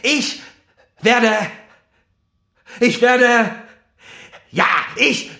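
A man's voice: very high-pitched, very slow, vocal fry, heavily acted. An actor playing an embittered, boastful male convict coming apart in prison, in a theatrical, obsessively repetitive radio play monologue. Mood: defiant, raging. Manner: obsessively repeating, swinging from whispers to shouts. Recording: roomy echo, music behind. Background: music.

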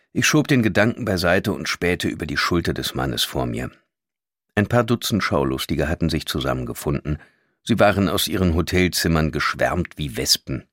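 Uneasy crime story narrator, low voice, lively pitch swings, dry close recording. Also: male voice, no room sound. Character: male crime-story narrator, startled and uneasy about his brother. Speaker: audiobook narrator. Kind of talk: scripted first-person novel narration with acted dialogue.

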